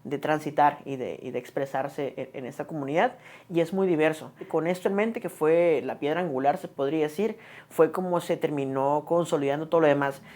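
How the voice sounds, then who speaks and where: A woman's voice: sits low, brisk, darkish tone. A woman explaining a community project, often searching for words and repeating herself. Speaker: project organizer.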